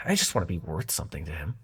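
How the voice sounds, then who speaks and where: A man's voice: deep voice, vocal fry, fairly bright tone, breathy edge, heavily acted. A man acting in an intimate audio roleplay as a young god of dreams, sarcastic and wounded but fond, talking in casual, sweary modern slang.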